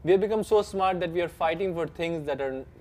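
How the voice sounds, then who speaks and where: A man's voice: on the high side, fast, darkish tone. Joking Indian-accented male host. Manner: joking, laughs often, rambling restarts. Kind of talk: casual panel chat.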